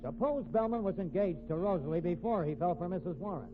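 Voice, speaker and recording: Irritable slangy detective in old radio mystery, pretty high voice, thin tinny sound. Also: male voice, lively intonation, quick pace. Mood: dogged, accusing. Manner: blunt, slangy. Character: gruff, stubborn, irritable male police detective. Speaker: police investigator. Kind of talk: 1950s radio drama acting. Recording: tinny, noisy vintage radio recording.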